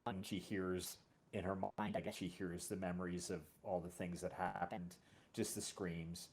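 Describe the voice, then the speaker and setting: Low-voiced man, halting conversational talk. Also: flat delivery. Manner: halting, repeats words, often says "you know". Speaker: series creator and show host. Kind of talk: casual conversation about a fiction series.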